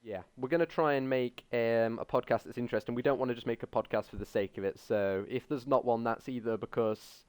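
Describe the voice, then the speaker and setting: A man's voice: mid-range voice, a little fast, few pauses, a little mellow, clean tone. A young man hosting a casual tech podcast, talking hesitantly with many ums. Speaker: podcast host.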